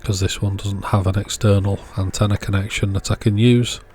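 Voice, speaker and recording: A man's voice: fairly low pitch, little pitch movement, fairly quick, a little mellow, faint music behind. A man giving casual, hands-on repair narration as a video host, in a matter-of-fact, understated way.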